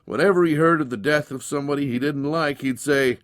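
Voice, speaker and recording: A man's voice: fairly high pitch, brisk, fairly bright tone, no echo. A man narrating a dramatized story in a folksy, conversational way. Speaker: narrator, audiobook reader.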